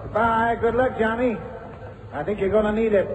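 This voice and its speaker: An actor playing a plain-spoken, folksy FBI small arms instructor in a vintage radio drama. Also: male voice, high-pitched, fairly even intonation, normal speed, close to an everyday voice. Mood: matter-of-fact. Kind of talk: 1960s-70s radio drama acting. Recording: muffled old radio, echoing hall, noisy.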